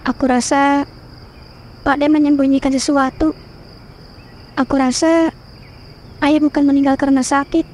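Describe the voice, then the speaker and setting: A woman's voice: high voice, little pitch movement, normal speed, pauses often, a little mellow, natural voice. A woman voicing a determined young village woman. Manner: earnest, insistent questioning. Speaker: voice actor. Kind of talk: cartoon horror voice acting. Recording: faint music behind voice.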